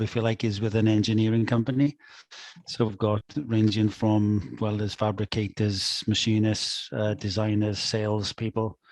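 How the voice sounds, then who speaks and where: A man talks on a video call in a measured, matter-of-fact way. His voice is fairly low and very flat in pitch. The sound is dry, with no room echo. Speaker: engineering business manager. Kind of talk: casual account on a video call.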